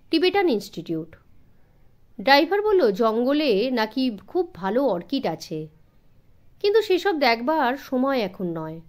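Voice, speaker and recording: A woman narrating a suspense story for children in an expressive, measured scripted storytelling style. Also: fairly high pitch. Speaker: audiobook narrator.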